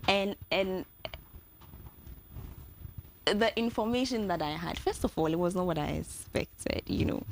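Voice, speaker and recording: Woman talk show host, somewhat low voice, chatty with lots of fillers, faint background hiss. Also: expressive, a little slow, pauses often. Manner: chatty, filler-heavy, warm questioning. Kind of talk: casual interview chat.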